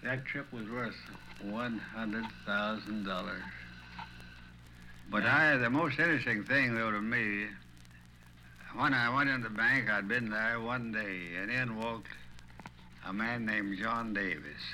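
A man's voice: fairly low pitch, takes it slowly, long pauses, pushed and bright, a little hiss or hum, rough recording. An older man with a Tennessee Southern accent tells stories from his life in a folksy way, acting out remembered conversations. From Tennessee, United States. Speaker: retired small-town banker telling his memories. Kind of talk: oral history storytelling.

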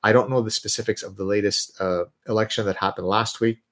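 A man's voice: fairly low pitch, brisk. A middle-aged man from Lebanon whose first language is Arabic, hosting a casual livestream and talking bluntly and emphatically. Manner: animated, blunt, emphatic, mocking voices when ranting. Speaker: livestream host answering viewer questions.

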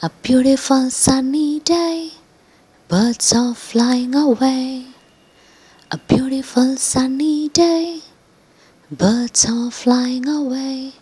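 A woman's voice: fairly high pitch, big pitch swings, slow, fairly bright tone, husky, pitched above the performer's own voice, heavily acted. A woman voicing a curious, hungry little girl who talks in a sing-song, exclaiming way.

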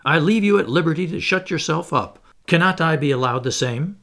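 A man voicing a stern, secretive submarine captain who speaks roughly and ironically. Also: mid-range voice, big pitch swings, a little slow, a bit bright, full character voice. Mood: curt.

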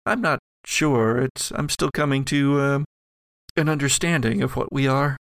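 A man's voice: mid-range voice, moderate pace, creaky, a bit bright, no echo, studio quality. A man voicing an ancient female spirit made of ectoplasm in an audio drama game, musing evasively and searching for words. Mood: musing, uncertain.

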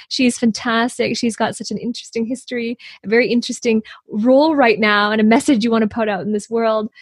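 A woman podcast host with a husky, breathy voice who talks enthusiastically and effusively. Her voice sounds dry and close to the mic, with no room echo. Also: fairly high pitch. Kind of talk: conversational interview.

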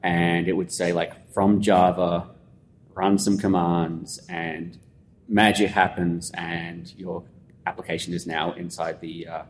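Australian man, low voice, conversational guest who rambles with fillers; echoey room, faint rumble. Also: slow pace. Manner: relaxed, rambling explainer with many fillers. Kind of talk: casual conversational interview. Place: conference venue.

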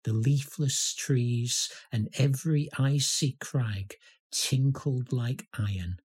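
A man reciting a poem as a reader, with measured, deliberate phrasing. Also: medium pitch, a little mellow, slightly rough. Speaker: poetry reader. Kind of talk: poetry recitation.

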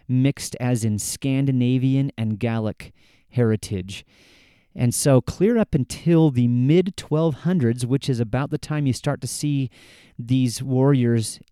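A man hosting a history podcast, talking at a measured pace and explaining things step by step, with frequent restarts. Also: medium pitch, mellow, clean tone, dry recording, studio quality. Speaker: podcast host. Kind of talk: solo history podcast talk.

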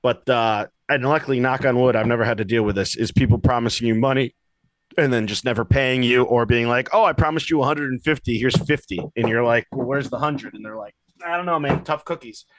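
A man's voice: medium pitch, a little fast, fairly bright tone, dry recording, rough recording. A man co-hosting a podcast, telling comedy-gig stories in a rambling, casual way with lots of restarts and asides. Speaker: podcast co-host. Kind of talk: casual chat. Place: lives in Boston.

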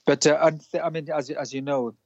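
A man from Wales, a musician guest, answering in a halting way with many restarts. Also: on the high side, fairly even intonation, no room sound. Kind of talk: casual interview chat. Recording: dull dry lo-fi line.

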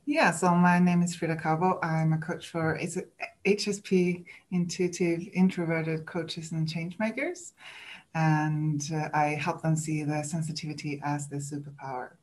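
A woman's voice: deep voice, fairly even intonation, darkish tone. Swedish-accented woman coach, reflective. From Sweden. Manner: reflective, halting, with restarts and light laughs. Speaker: guest, coach. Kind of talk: interview.

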